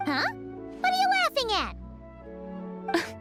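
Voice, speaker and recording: An extremely high-pitched, childlike fairy companion, perky and boastful. The delivery swings up and down in full game-character style, with music playing clearly behind it. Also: female voice, wildly swooping pitch, takes it slowly, full character voice. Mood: puzzled. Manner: speaks of herself in third person, perky. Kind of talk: video game character acting.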